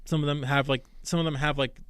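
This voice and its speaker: A man's voice: a little high, fairly even intonation, fast talker, fairly bright tone, smooth, no echo, studio quality. A man from Iraq hosting a casual live podcast, rambling with lots of restarts and fillers, sounding sleepy. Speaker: podcast host.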